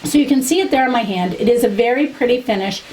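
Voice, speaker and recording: A woman's voice: fairly high pitch, fast, darkish tone, slight background noise, rough recording. A woman reviewing a makeup product to camera for her vlog in a chatty, candid way, losing her voice. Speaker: beauty vlogger.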